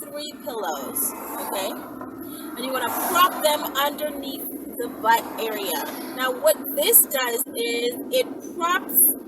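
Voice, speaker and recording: A woman's voice: sits high, takes it slowly, over loud music. A woman in her thirties chatting casually and emphatically as she gives step-by-step instructions, often checking in with the listener. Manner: animated, emphatic, checks in with "right?" and "okay?". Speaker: vlogger giving instructions. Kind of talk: casual instructional chat to camera. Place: inside a car, daytime. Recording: distant mic with loud vehicle noise. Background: loud car and road noise.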